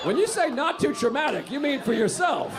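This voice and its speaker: Male comic, teasing crowd work, over a loud laughing audience. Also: extremely high pitch, fairly quick. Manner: teasing, animated, laughing through his lines. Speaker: stand-up comedian. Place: comedy club stage with a live audience. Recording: echoey club with loud crowd noise.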